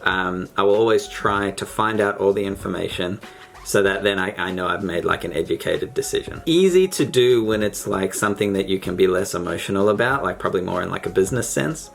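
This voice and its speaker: A man's voice: sits low, a little fast, vocal fry. A man chatting with a stranger on a video call, reflective and hesitant, with many fillers. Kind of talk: casual conversation.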